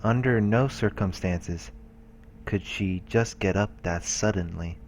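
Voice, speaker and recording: A man's voice: fairly low pitch, a little slow, a little hiss or hum. A man reading a visual novel's story narration aloud as a let's play narrator. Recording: music behind the voice. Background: game music.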